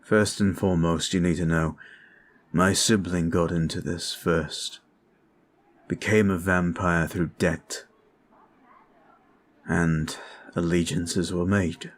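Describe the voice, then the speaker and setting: A man voicing a brooding male vampire in an ASMR roleplay, talking in a slow, intimate, confiding way. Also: deep voice, steady tone.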